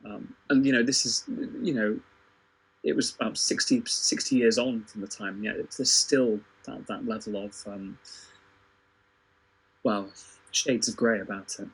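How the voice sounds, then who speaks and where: A man discussing history informally, thinking aloud haltingly and repeating words as he goes. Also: on the low side, steady tone, husky, poor audio. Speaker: podcast panellist.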